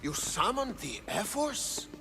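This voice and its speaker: Game king: stately, formal, creaky, bright voice swinging widely in pitch. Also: sing-song, slow pace, heavily acted. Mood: pleased. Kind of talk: dramatic video game acting.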